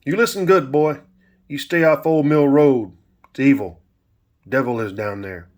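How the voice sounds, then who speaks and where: Man doing a gruff, commanding old country grandfather. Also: medium pitch, a little slow, a little mellow, pitched above the performer's own voice, natural voice. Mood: angry warning. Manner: preachy, commanding, rustic speech. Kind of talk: dramatic storytelling.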